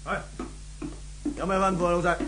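A man playing an obedient, excitable henchman in a 1970s Hong Kong Cantonese radio drama, exclaiming and repeating himself. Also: sits high, a little fast, natural voice. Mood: deferential. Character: brothel boss's obedient, excitable male henchman. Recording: very noisy old radio recording.

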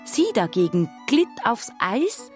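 An actress playing a grown woman who recalls her girlhood in measured, literary radio drama narration. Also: a little high, big pitch swings. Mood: reflective, composed. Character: adult female narrator recalling her girlhood, composed storyteller. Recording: studio radio drama with music.

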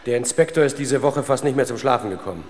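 Actor as a quick-talking loyal police sergeant. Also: male voice, mid-range voice, darkish tone, big-room sound, close to an everyday voice. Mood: apologetic, defensive. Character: loyal, matter-of-fact male police sergeant. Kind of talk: 1970s German radio crime drama acting. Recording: 1970s radio drama, faint noise.

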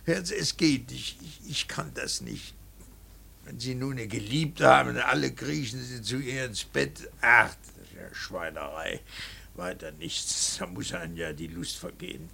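Gravelly, brooding old painter-professor. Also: male voice, mid-range voice, expressive, bright, forceful tone, heavily acted. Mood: flustered disgust. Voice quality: gravelly, husky. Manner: halting, brooding, emphatic preaching. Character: solitary, devout, stern older male painter-professor and father. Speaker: radio drama actor. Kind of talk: German radio play acting.

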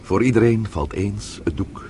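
An actor in a radio drama playing a worried, courteous husband, hesitant and polite, with a very husky voice. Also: male voice, lowish voice, normal speed, dark tone, slight background noise, lightly acted. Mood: tender, attentive. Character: worried, courteous husband, deferential to his father-in-law.

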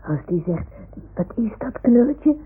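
An actress playing a confident, patient young child who talks in a matter-of-fact, reassuring way in an old radio play. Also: female voice, fairly high pitch, big pitch swings, unhurried, breathy edge, heavily acted. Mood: confiding. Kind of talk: 1960s-70s Dutch radio play acting. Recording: muffled, phone-like old radio recording.

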